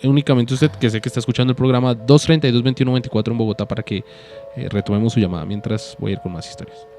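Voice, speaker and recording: A man's voice: mid-range voice, steady tone, racing. A Colombian man co-hosting a live radio show, chatting casually as he handles the phone lines. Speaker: radio co-host taking calls. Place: radio studio. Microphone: studio broadcast microphone. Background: faint music.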